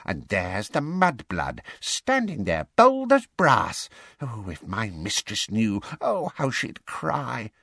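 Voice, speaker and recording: A man voicing a muttering, servile and spiteful old male house elf, hoarse and croaky, in an audiobook. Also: fairly low pitch, huge pitch swings, takes it slowly, fairly bright tone, no room sound, heavily acted. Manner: muttering, self-pitying, talks of himself in third person.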